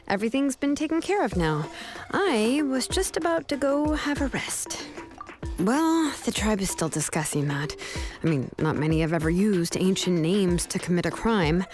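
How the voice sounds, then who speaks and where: A woman voicing a kind, steady young craftswoman in a video game, with an unhurried, reassuring manner. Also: medium pitch, natural voice. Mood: matter-of-fact.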